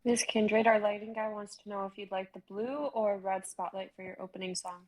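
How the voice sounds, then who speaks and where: A woman's voice: mid-range voice, fairly even intonation, fairly quick, close to an everyday voice. A woman reading as a polite young stage manager. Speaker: reader in a live-streamed script reading. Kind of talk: amateur script read-aloud.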